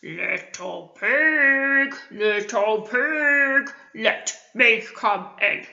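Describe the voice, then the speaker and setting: A big bad storybook wolf, acted out by a woman, booming out boasts and threats in very slow, drawn-out words. The delivery is blustering and menacing, in an echoey room. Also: lively intonation, creaky, pushed and bright, full character voice. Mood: coaxing menace. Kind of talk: children's storybook read aloud. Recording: echoey room, slightly muffled.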